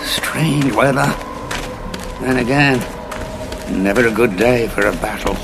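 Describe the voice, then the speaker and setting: An actor playing a weary older time traveller who talks slowly and quietly to himself in an audio drama. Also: male voice, medium pitch, very noisy. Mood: musing. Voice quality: gravelly. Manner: slow, quiet musing to himself. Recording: loud music score underneath.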